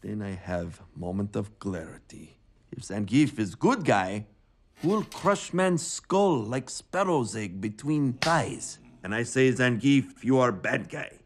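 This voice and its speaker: A man voicing a burly, proud Russian wrestler in an animated film, grave, with broken English. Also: mid-range voice, slow pace. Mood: solemn, reflective.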